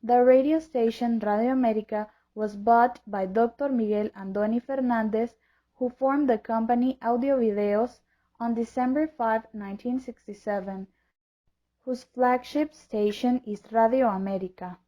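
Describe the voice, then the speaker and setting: A woman's voice: on the high side, fairly even intonation, moderate pace. A woman reading a scripted historical narration in a formal manner. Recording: dull lo-fi audio.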